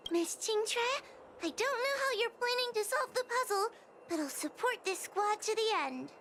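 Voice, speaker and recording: A woman's voice: extremely high pitch, animated, moderate pace, fairly bright tone, slight background noise, exaggerated voice. A woman voicing a timid, anxious young girl in a video game, fretful but polite and earnest. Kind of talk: anime-style game cutscene acting.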